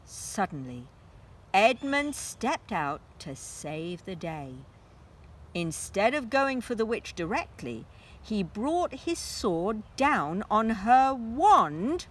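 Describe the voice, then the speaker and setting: A woman narrating a children's story aloud in an expressive, dramatic style with deliberate phrasing. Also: a little high, husky.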